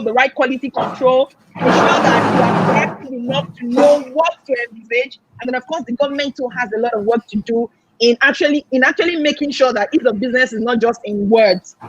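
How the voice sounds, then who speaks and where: A woman with a high voice and a Nigerian accent makes earnest points in a panel discussion. The online call sounds dull, with clear noise around her words.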